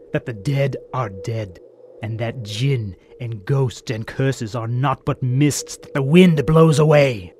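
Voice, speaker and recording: A man playing a coldly courteous, gloating bandit chief in an audio drama, with florid, formal and measured speech. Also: medium pitch, noisy background. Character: gloating bandit chief, scarred former slave trader, coldly courteous villain.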